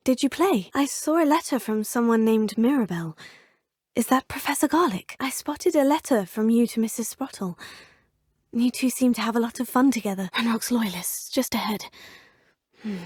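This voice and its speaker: A woman voicing a poised, curious young witch student, speaking in polite, formal, measured game dialogue with a husky tone. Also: on the high side, a little mellow, no room sound, studio quality. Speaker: player character. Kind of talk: video game voice lines.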